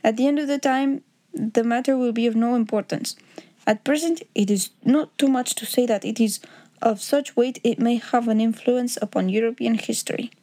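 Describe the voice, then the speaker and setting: A woman's voice: a little high, normal speed, dark tone, lightly acted. A woman voicing a proud, agitated male aristocrat in an audiobook story, speaking in a formal, pompous, grave way. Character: huge proud Bohemian nobleman, secretly a king, agitated, formal.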